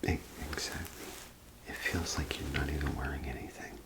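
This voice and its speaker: A man doing an ASMR role play as a clothing salesman, with gentle, hesitant, unhurried patter. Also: extremely low pitch, fairly even intonation, rough recording.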